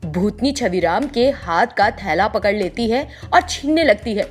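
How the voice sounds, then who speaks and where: Animated woman storyteller over music. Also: medium pitch, a little slow, husky. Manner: expressive storytelling. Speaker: narrator. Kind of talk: scripted children's story narration.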